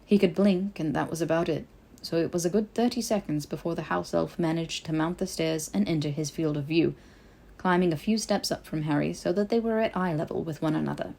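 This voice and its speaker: Low-pitched woman narrating fiction. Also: a little fast, vocal fry, warm tone. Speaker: podfic narrator. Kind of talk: expressive scripted fiction narration.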